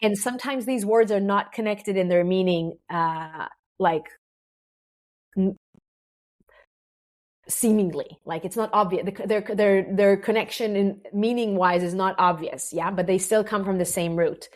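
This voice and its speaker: A woman's voice: medium pitch, unhurried, darkish tone. Earnest Israeli woman explaining ideas. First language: Hebrew. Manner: earnest, teaching with analogies, frequent restarts and "right?". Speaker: podcast guest. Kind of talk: explanatory interview talk.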